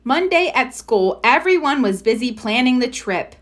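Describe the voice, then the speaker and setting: Female children's storybook narrator, high, animated, expressive read-aloud. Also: normal speed. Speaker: storybook reader.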